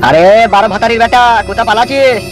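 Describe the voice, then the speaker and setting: A vengeful cartoon thug, acted by a man, high and very fast in delivery. He is menacing and abusive, throwing threats over faint music. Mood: furious, threatening. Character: vengeful, foul-mouthed older brother, a threatening politician thug. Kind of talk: comedy cartoon dubbing.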